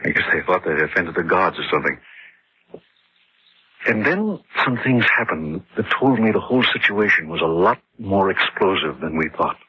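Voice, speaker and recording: An actor playing George, a husky-voiced engineer and husband who narrates and acts in a radio horror drama. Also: male voice, low voice, animated, normal speed, heavily acted. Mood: grim recounting. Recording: dull lo-fi radio drama recording.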